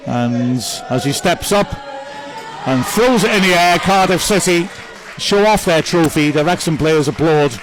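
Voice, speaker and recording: A man's voice: fairly high pitch, expressive, a little slow, a crowd in the background, rough recording. Chatty man commentating a match. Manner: chatty, upbeat, praises players one by one. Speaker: club commentator. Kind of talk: live match commentary reading viewer messages.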